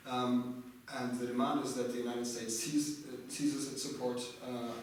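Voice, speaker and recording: Panel expert, male, hesitant and flat, heard from far off in an echoey hall. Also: mid-range voice, normal speed, slight background noise. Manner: hesitant, many fillers and restarts. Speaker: panel expert on arms control. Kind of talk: panel discussion answers.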